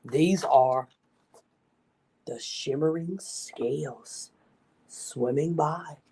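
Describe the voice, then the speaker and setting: A woman's voice: sits low, extremely slow, warm tone, slight background noise. A woman reading a children's story aloud expressively, with asides to her young listeners.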